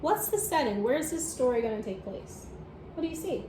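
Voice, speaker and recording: A high-voiced woman reads aloud to young children in an expressive, teacherly way. She coaxes them along with questions. The room is echoey, with faint noise behind her. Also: a little fast. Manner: expressive, encouraging, instructive. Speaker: teacher reading a picture book aloud to children. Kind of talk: animated read-aloud with questions to young listeners. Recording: roomy echo with faint background noise.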